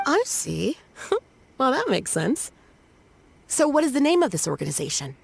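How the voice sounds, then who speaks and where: A woman playing an earnest, worrying young shrine maiden in a video game, with an expressive, questioning manner. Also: high-pitched, normal speed, creaky, a little hiss or hum, full character voice. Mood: intrigued. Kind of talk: English video game voice acting.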